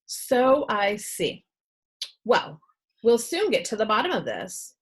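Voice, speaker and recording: A woman voicing a small, round old male doctor who is excitable, blustery and absent-minded in a children's storybook. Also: medium pitch, takes it slowly, husky, heavily acted. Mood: baffled, blustery.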